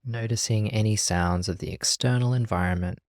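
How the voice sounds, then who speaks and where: A meditation teacher leading a guided practice in a gentle, calm, measured way with long pauses. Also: mid-range voice, no room sound, clean studio sound.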